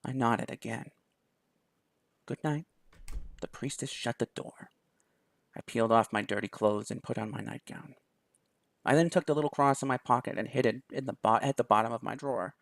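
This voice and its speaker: Gravelly soft-spoken male reader. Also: mid-range voice, fairly even intonation, brisk, no room sound, studio quality. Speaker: video game YouTuber. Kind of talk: reading a visual novel aloud in first person.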